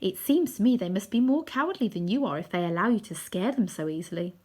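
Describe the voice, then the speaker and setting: A woman's voice: mid-range voice, lively intonation, fast talker, a little mellow, close to an everyday voice. A woman voicing an earnest young girl in a children's storybook, speaking in a direct, emphatic way. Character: young girl, indignant, earnest and plain-spoken. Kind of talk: children's storybook character reading.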